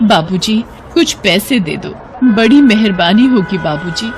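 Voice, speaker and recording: A woman's voice: medium pitch, expressive, a little slow, a little hiss or hum. A woman playing a poor, honest blind beggar mother in a moral story, plaintive and earnest. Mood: pleading. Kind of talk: dramatised moral story acting.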